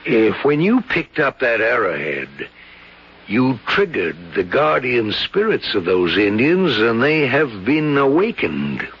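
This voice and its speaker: An actor playing a solemn, superstitious old colonel in a radio drama, speaking gravely and deliberately with a gravelly, husky voice. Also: male voice, medium pitch, wildly swooping pitch, exaggerated voice. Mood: solemn warning. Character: solemn old colonel who believes in ghostly curses. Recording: muffled vintage radio drama recording.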